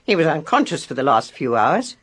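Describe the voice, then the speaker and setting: A woman's voice: low-pitched, very animated, fairly quick, a bit bright, husky, exaggerated voice. A woman playing a blunt, bossy, knowing village nurse in a British radio drama. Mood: brisk, bossy. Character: brisk, no-nonsense, knowing village nurse, female.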